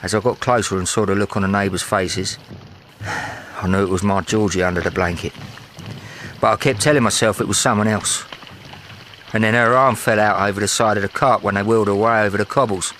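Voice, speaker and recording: A man's voice: lowish voice, fairly even intonation, brisk, a bit bright, a little hiss or hum, close to an everyday voice. Tough young Londoner in uniform male actor, working-class accent, blunt and slangy, wartime drama, faint ambience behind. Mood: grieving. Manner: blunt, slangy, combative when provoked. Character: tough, touchy working-class London soldier, football-mad. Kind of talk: audio drama acting.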